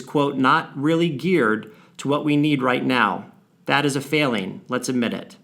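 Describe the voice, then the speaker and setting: A man reading a scripted briefing to clients in a measured, formal way, stating figures and names deliberately. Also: mid-range voice, a bit bright. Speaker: financial firm presenter on a client briefing call. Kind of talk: scripted briefing read aloud.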